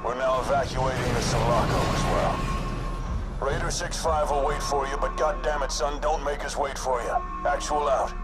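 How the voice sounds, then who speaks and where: A gruff, commanding male marine officer acted by a man speaks in terse, grave radio orders for a game cutscene. His pitch is middling, and loud music plays behind. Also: steady tone, moderate pace, lightly acted. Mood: stern. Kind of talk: dramatic game cutscene acting.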